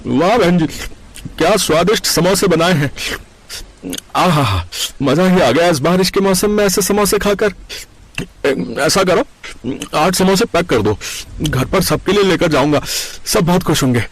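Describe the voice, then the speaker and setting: Man playing appreciative street-food customer, fairly high voice, hearty, expressive, cartoon tale. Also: unhurried, no room sound. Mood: delighted. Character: friendly male snack customer, appreciative, hearty.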